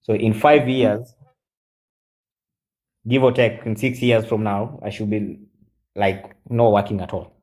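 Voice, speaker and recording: A man in his forties, chatting on a live stream as a guest, halting with many fillers and switching into Swahili. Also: on the low side, steady tone, darkish tone, rough recording. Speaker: guest mentor. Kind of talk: casual live-stream chat.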